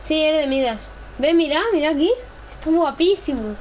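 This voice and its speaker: A young, playful, excitable voice chatting casually during gameplay roleplay, often repeating phrases. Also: high voice, brisk, a little hiss or hum. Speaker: gamer in a roleplay video.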